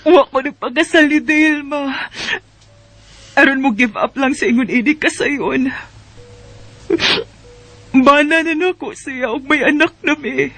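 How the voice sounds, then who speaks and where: A very high-pitched, tearful young wife in a Bisaya radio drama, with a Cebuano accent. She speaks slowly, pleading and heartbroken, wounded but devoted. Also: a bit bright, faint music behind. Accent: Cebuano Filipino. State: crying.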